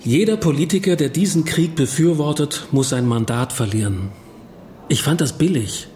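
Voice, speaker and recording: An actor playing a self-doubting writer in a radio drama, recounting things in a reflective, confessional way. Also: male voice, a little high, moderate pace, darkish tone, close to an everyday voice. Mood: wry, guarded. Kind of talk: radio drama narration and dialogue.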